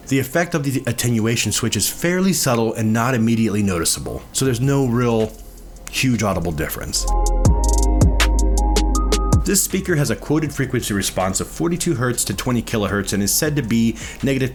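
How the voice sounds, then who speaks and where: A man's voice: mid-range voice, sing-song, a little fast, faint music behind. A man reading a scripted product review as a narrator and reviewer.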